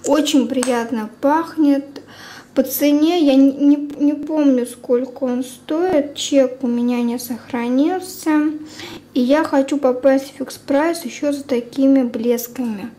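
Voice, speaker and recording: High-pitched young woman, casual vlogger. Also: mellow. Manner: unhurried, informal, thinking aloud. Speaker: beauty vlogger. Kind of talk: casual product review chat. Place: indoors at a table at home. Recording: clear background room noise.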